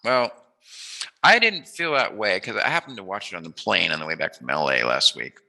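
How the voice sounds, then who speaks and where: A man's voice: lowish voice, sing-song, fairly quick, creaky, pushed and bright. A man hosting a casual interview, chatting in an informal, enthusiastic and rambling way. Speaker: interview host.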